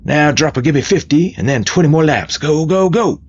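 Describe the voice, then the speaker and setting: A man voicing a gruff, bullying military drill sergeant who barks sardonic taunts in an audiobook. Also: on the high side, moderate pace, lo-fi sound, pitched above the performer's own voice, lightly acted. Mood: barking orders. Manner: loud, barking, sardonic. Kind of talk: dramatised audiobook character voice.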